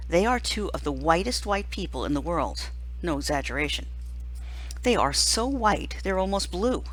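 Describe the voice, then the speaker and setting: Lively woman reading a novel aloud. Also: lowish voice, poor audio. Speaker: teacher reading a book aloud. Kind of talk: expressive audiobook-style read-aloud of a first-person children's novel.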